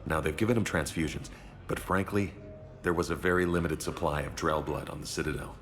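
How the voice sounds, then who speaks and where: An actor playing a frank, sober doctor who speaks in a measured, grave, matter-of-fact way. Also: male voice, a bass voice. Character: frank, sober hospital doctor delivering bad news.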